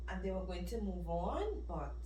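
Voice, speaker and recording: A woman giving casual, expressive book reactions as a vlogger, talking in an emphatic, conversational way. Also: on the low side, moderate pace, a little hiss or hum. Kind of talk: casual reaction and review to camera. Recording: roomy echo, distant microphone.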